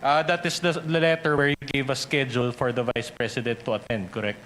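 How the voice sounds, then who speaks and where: Filipino lawyer cross-examining, faintly noisy recording. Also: male voice, mid-range voice, fairly even intonation, fast, fairly bright tone. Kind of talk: formal courtroom questioning.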